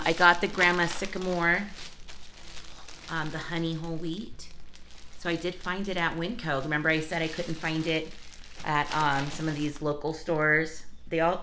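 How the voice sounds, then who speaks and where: A woman vlogger chatting casually to her viewers, hoarse from a cold and losing her voice. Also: low-pitched, sharp tone, a little hiss or hum. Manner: chatty, talks straight to viewers with asides. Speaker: vlogger showing a grocery haul. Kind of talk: casual chatty vlog talk.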